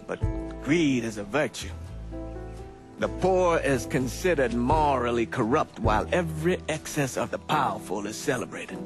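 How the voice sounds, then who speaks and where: Older man, mournful game narrator-preacher, slow and deliberate, clear music underneath. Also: mid-range voice. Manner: deliberate, rueful, rhetorical. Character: weary elderly preacher, disillusioned, bitter, mournful. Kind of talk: solemn reflective monologue in a cinematic game. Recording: music behind the voice.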